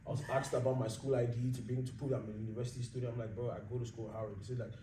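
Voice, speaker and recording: A young man with a Nigerian accent telling stories in a casual chat, animated and laughing a lot, saying "bro" often. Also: mid-range voice, rapid-fire. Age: young adult. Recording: echoey room, mic at a distance, faint background noise.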